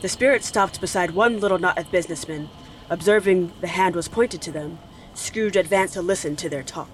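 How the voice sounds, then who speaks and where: A man narrating a dramatic story in a measured, solemn manner. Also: high voice, slight background noise, natural voice, rough recording.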